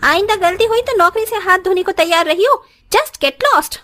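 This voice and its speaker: A woman voices a spoiled, arrogant young rich daughter-in-law in a cartoon. Her voice is extremely high and swings widely in pitch. She talks down to people with haughty, cutting scorn. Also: expressive, moderate pace, heavily acted. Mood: angry, bullying. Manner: haughty, scornful, sharp-tongued. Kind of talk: Hindi moral story cartoon acting.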